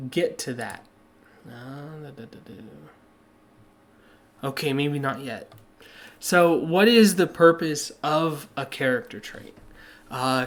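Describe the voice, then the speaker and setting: Slightly hoarse male teacher. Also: on the high side, fairly bright tone. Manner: plain, patient explaining with many "uh" fillers. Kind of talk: recorded classroom lesson over slides.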